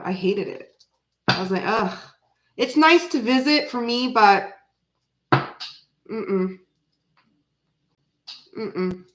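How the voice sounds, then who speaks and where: A woman's voice: mid-range voice, roomy sound. Chatty woman with an American accent. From Canada, raised in Florida. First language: French. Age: 40s. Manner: rambling, chatty, with sudden animated outbursts. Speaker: tarot reader chatting with live viewers. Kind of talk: casual livestream chat.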